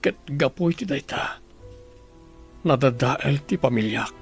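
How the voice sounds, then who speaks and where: A man acting in a radio drama as a wealthy husband who pleads prayerfully, with a breathy delivery. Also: medium pitch, lively intonation, unhurried, soft background music. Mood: anguished, pleading. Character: anguished wealthy husband praying for his wife.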